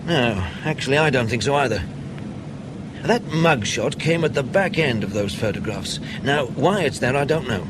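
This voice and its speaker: An actor playing a wry British intelligence officer in a radio drama, questioning in a measured, pressing way with dry understatement. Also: male voice, medium pitch, lively intonation, natural voice. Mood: probing, insistent. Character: probing, wry British male intelligence officer debriefing an agent. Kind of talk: naturalistic radio drama acting. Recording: clear ambient noise behind the voice.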